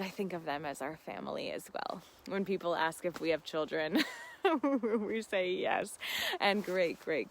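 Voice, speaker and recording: A woman's voice: on the low side, a little hiss or hum. A woman hosting a casual live gardening Q&A, chatting informally and expressively with enthusiasm as she answers viewer questions.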